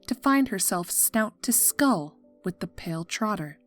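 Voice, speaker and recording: A woman's voice: medium pitch, animated, unhurried. A woman reading scripted story narration for a fan fiction audio drama. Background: music.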